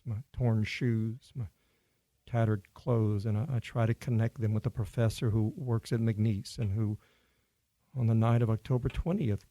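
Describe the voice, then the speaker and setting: A man acting a haunted professor and lone survivor in a radio play. He narrates his journal with a dark, gravelly voice, measured and brooding, recorded close and dry. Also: mid-range voice, no room sound, close to an everyday voice. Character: haunted male professor, lonely ragged survivor.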